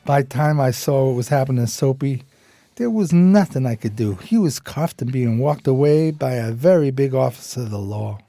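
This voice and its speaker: An actor playing a dim, streetwise, loyal homeless sidekick in an American radio play, with hesitant, slangy street talk. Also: male voice, mid-range voice, lively intonation, normal speed, creaky, mellow, no room sound. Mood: reflective. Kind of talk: radio play dialogue and narration. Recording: music behind the voice.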